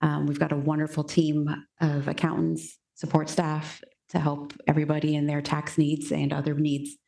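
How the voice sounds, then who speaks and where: Low-voiced Californian woman. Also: monotone, moderate pace, vocal fry, dark tone. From Marin, California. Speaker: accountant panelist. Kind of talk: panel self-introduction.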